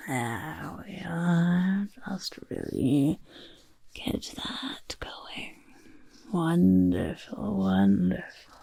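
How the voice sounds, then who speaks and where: A woman voicing a pompous, gleefully chaotic, doting goblin overlord in a soft-spoken ASMR roleplay, with a breathy, husky near-whisper. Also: low-pitched, no echo, heavily acted. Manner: speaks of self in third person, grandly self-satisfied.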